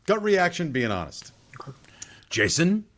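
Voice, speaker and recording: A man's voice: sits high, sing-song, slow pace, a bit bright. A man co-hosting a podcast, giving his casual opinion of a film, hedging and repeating himself to make his point. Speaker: podcast co-host.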